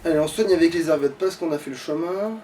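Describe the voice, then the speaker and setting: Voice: medium pitch, fast, warm tone, recorded from a distance, a little hiss or hum. A gamer giving casual, sweary gameplay commentary in an exasperated, grumbling manner. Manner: exasperated, foul-mouthed grumbling. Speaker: let's play gamer.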